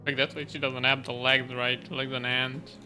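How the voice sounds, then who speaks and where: A man's voice: medium pitch, little pitch movement, normal speed, music in the background, rough recording. A man doing casual live game commentary as a streamer, musing informally and reacting to the story.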